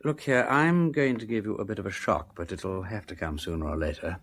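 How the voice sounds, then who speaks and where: An actor playing an urbane, flippant but kindly gentleman detective in a radio drama, with an upper-class English accent and breezy, drawling old-fashioned slang. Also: on the low side, a little fast. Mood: brisk, explanatory. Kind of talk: full-cast radio drama acting.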